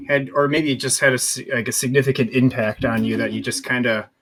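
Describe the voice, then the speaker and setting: A man's voice: medium pitch, fairly even intonation, brisk, a bit bright. A man in his thirties talking casually as a podcast co-host. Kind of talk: casual chat.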